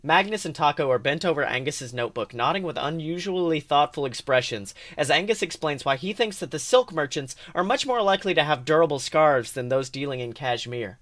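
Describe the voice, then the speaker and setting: A man's voice: fairly high pitch, expressive, fairly quick, hardly any pauses, fairly bright tone. A man hosting a podcast, narrating fan fiction aloud with expression. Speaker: podcast host.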